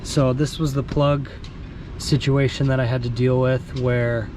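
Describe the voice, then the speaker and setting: A man with a hoarse voice from a cold, casually explaining his boat's gear step by step with many pauses and ums. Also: medium pitch, level tone. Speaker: sailboat owner vlogger. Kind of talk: casual show-and-tell vlog. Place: outdoors on a sailboat in a marina, windy. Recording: windy outdoor camera audio. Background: wind and harbor noise.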